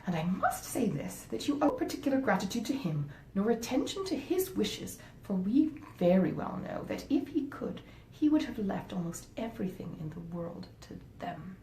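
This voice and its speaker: A woman reading a classic novel aloud as a grasping, self-justifying married gentlewoman, genteel, insistent and coolly persuasive. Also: very animated, moderate pace, hardly any pauses. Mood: resentful. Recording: roomy echo, faint rumbling noise.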